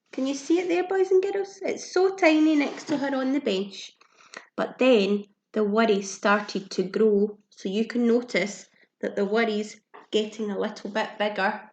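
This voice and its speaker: High-voiced woman reading to young children, echoey. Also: slight background noise. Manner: expressive, simple child-directed speech with audible gasps and questions. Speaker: teacher reading a picture book to young children. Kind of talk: children's storybook read-aloud with asides to listeners. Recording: echoey room sound.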